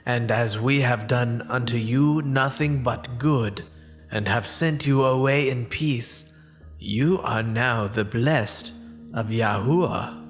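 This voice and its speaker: An actor playing a placating ancient envoy in a dramatized scripture reading, formal and deliberate. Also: male voice, medium pitch, close to an everyday voice. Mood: solemn, placating. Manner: deliberate, formal archaic delivery. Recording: music under the voice.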